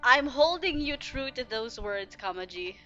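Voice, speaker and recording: A young woman with a high, bright voice reacts casually to a game, gushing and expressive. The recording is a little dull, with faint music behind her. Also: measured pace. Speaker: game streamer. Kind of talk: casual gaming reaction commentary. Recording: dull lo-fi mic.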